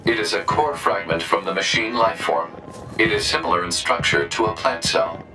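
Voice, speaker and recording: A man voicing an emotionless robotic support drone in a video game, giving clipped, deadpan reports. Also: deep voice, moderate pace, over music, lightly acted, poor audio. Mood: flat, factual.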